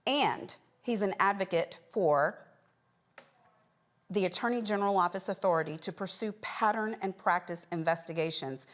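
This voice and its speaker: A woman hosting a news show, introducing and questioning guests in an interview style. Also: on the low side.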